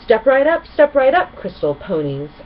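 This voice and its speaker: A woman voicing a brash, scheming female griffin who shouts like a carnival barker in a storybook. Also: sits high, big pitch swings, normal speed, highs cut off, slight background noise, voice pushed up. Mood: brash hawking. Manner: loud carnival barker delivery.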